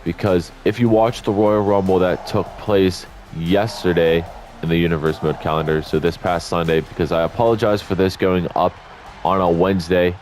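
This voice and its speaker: A man's voice: deep voice, darkish tone. A man doing casual, rambling play-by-play commentary over a wrestling video game, sounding congested and tired after just waking up. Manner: chatty, rambling asides, self-corrections. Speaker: YouTube gaming commentator. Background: faint game music.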